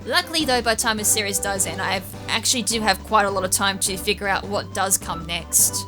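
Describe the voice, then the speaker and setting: A woman's voice: medium pitch, fairly quick. A woman giving casual, rambling video game walkthrough commentary, thinking aloud with an occasional stutter. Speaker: gaming YouTuber, series host. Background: game music.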